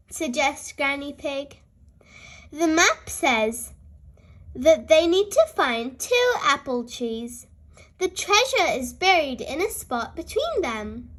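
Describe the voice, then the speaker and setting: A very high-pitched, young-sounding storyteller reads a picture book for small children. The delivery is slow and highly animated, with cheerful expression aimed straight at the listener. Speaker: host and reader of a children's read-aloud show.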